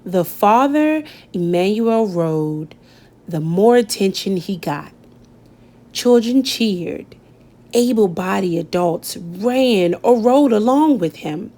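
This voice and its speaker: A woman reading a children's picture book aloud, gentle and expressive, lifting her voice on key words. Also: mid-range voice, takes it slowly. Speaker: storybook reader. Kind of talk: children's read-aloud narration.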